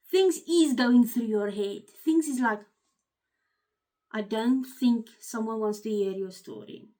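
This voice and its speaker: A woman with an Afrikaans accent, a life coach giving an earnest, candid motivational talk to her channel's viewers. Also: a little high. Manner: earnest and candid, with frequent "um" fillers and restarts. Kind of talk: personal motivational talk to camera.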